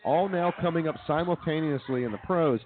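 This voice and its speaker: A man's voice: on the high side, brisk. A man co-hosting a casual sports talk show, giving confident opinions and often restarting his sentences. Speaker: co-host. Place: brewery, live show. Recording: tinny phone-like sound.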